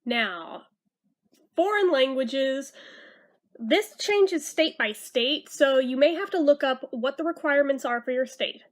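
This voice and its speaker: A young woman from California with an American accent and a high-pitched voice talks in a chatty, informal way. A slight lisp shows in her speech as she gives advice straight to her viewers. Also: animated, measured pace. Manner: chatty, informal, self-deprecating. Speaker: vlogger giving school advice. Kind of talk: casual advice talk to camera.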